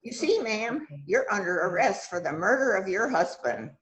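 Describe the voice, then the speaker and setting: A woman's voice: mid-range voice, very animated, loud music behind the voice, natural voice, poor audio. A woman playing a self-assured, dramatic detective who bosses an assistant around in an amateur mystery play. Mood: dramatic, accusing. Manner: deliberate, dramatic, musing. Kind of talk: amateur readers theater, radio mystery acting.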